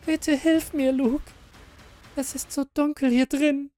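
A man's voice: very high-pitched, level tone, takes it slowly, warm tone, soft background music, pitched above the performer's own voice. A man voicing a monster that mimics a young girl, pleading and luring.

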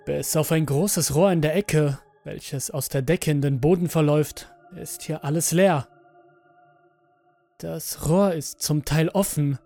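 Slow-speaking man narrating horror over music. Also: a little high, mellow. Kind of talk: scripted first-person horror audio story narration. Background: background music.

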